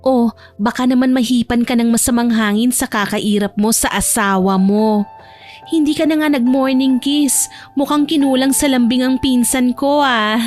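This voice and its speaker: A woman's voice: on the high side, moderate pace, faint music behind, natural voice. A woman voicing a bossy older female cousin in a romance audio drama, nagging in a playful, teasing way.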